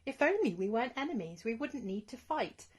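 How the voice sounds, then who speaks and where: A woman voicing a gentle, earnest young female dragon in a children's storybook. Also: a little high, very animated, fairly quick, husky. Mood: wistful. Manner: earnest, wistful.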